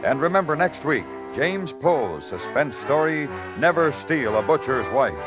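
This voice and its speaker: An American man announcing a 1950s radio thriller in a measured, formal, dramatic scripted style. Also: a little high, sing-song. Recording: muffled 1950s radio recording. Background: orchestral music.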